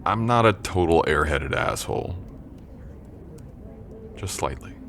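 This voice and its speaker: A young man playing a confident, teasing and protective college jock in an intimate boyfriend audio roleplay, speaking in a gentle, conversational way. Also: deep voice, lively intonation, measured pace, gravelly, slight background noise, heavily acted. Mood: teasing, admiring.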